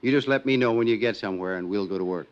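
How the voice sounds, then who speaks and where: A man's voice: on the low side, very fast, clear, resonant tone, lightly acted. An actor playing a genial, talkative middle-aged insurance man in a 1950s radio drama, with a folksy, chatty way of talking. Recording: muffled old radio broadcast.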